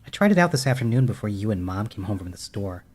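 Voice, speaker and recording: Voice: medium pitch, very animated, fast talker, darkish tone, slightly rough. A performer playing a bossy, know-it-all boy, the older brother in an audio drama. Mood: boastful. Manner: snide, bossy. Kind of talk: audio horror-comedy drama.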